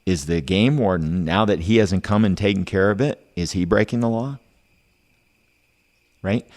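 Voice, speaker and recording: Really low voiced guy on a podcast, talks it through casually, keeps asking rhetorical questions. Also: moderate pace, darkish tone. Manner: rhetorical questions, tag "right?". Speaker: podcast host. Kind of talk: casual discussion.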